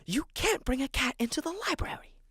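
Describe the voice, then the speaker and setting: A narrator voicing a stern older woman librarian who scolds in a hushed whisper. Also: high voice, expressive, a little fast, dry recording, studio quality, pitched above the performer's own voice. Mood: hushed scolding.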